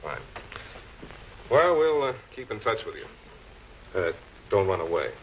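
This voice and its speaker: A man playing a composed, probing public prosecutor in 1940s television crime drama style, measured and politely pressing. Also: fairly low pitch, very animated. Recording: muffled, noisy old film soundtrack.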